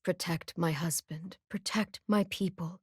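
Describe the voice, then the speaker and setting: A woman voicing a calm, solemn warrior chieftain in a video game, grave and measured. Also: on the low side, slightly rough, no echo, clean studio sound. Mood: solemn prayer. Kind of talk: video game character voice lines.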